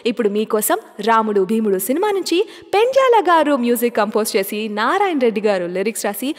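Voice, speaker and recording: A woman radio jockey chats with her listeners and keeps asking them questions. Her voice sits fairly high and swings widely in pitch. A long reverb trails behind her words. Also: sing-song, fast. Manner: chatty, asks listeners questions. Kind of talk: casual radio show hosting.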